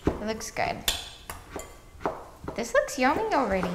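A woman in her thirties with a slightly high voice co-hosts a home cooking show. She talks in a loose, playful, chatty way, as if among friends in the kitchen. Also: wildly swooping pitch, unhurried, very noisy. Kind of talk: casual kitchen chat.

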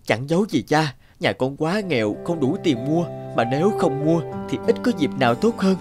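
A man voicing a poor, devoted young peasant husband in an animated folk tale, polite and earnest. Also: a little high, normal speed, over music, natural voice.

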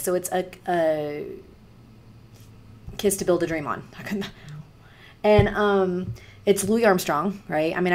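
Raspy-voiced woman chatting on webcam. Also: on the low side. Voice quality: raspy voice from singer's nodules. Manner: chatty and rambling, many fillers. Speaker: music reaction vlogger. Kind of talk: casual chat to camera. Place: small home room with posters. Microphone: webcam, wearing headphones.

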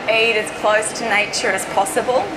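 An Australian woman speaks in a somewhat high voice, giving an informal public talk. Her delivery is conversational and emphatic, stressing key words. The recording has loud background noise running behind her. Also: steady tone, moderate pace. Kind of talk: informal seminar presentation. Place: indoor presentation stage at an expo.

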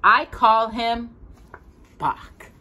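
A middle-aged woman reading a picture book aloud to a child, expressive and deliberate, stressing key words. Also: a little high. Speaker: host of a children's storytime. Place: small indoor room with bookshelves.

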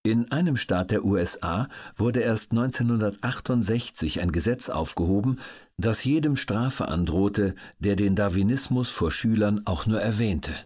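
A man reading scripted documentary narration for an audiobook in a measured, announcer-like way. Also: lowish voice, darkish tone, slightly rough.

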